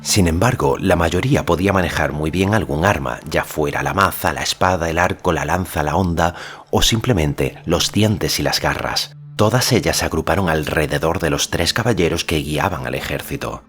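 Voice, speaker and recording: A man narrating a fantasy story as a scripted audiobook, measured and solemn in delivery. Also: very deep voice, a bit bright.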